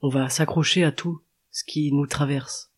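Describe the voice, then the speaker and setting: A man hosting a relaxed conversation, his voice a little high and breathy at the edges. He thinks out loud and echoes his guest's points back in his own words. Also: a little slow. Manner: reflective, paraphrasing the guest. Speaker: podcast host. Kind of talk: conversational interview.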